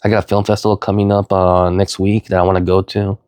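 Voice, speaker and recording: A middle-aged man with a sniffly cold, talking in a rambling, earnest vlog monologue, full of fillers and "you know", now and then jumping into loud high emphasis. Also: level tone, quick pace, clear, resonant tone, no room sound, studio quality. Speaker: vlogger.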